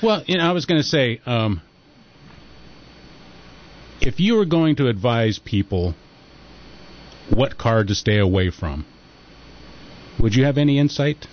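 A man's voice: medium pitch, measured pace, long pauses. Guy hosting a chill local radio talk show, easygoing chat, kinda muffled sound. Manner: relaxed, chatty, reads headlines.

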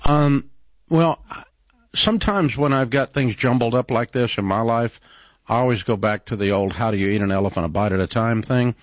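A man's voice: mid-range voice, normal speed, dry recording, highs cut off. A man hosting a call-in advice show, questioning a caller directly and working through the numbers with her. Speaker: radio advice host.

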